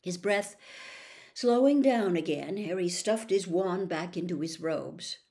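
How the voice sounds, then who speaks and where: A woman narrating a storybook as its reader, with an expressive, suspenseful delivery. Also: fairly low pitch, unhurried. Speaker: audiobook reader.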